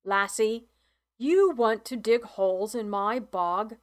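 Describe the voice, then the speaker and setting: A woman voicing a gruff, grumbling old male farmer with a Scottish accent, in an audiobook reading. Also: mid-range voice, slow, lightly acted. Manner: gruff, exasperated, blustery.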